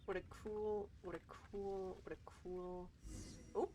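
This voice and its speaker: Slow-paced, goofy woman streamer. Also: mid-range voice, level tone, mellow, slight background noise. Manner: goofy, repeats phrases. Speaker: game streamer. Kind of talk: casual live gameplay commentary. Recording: game music behind.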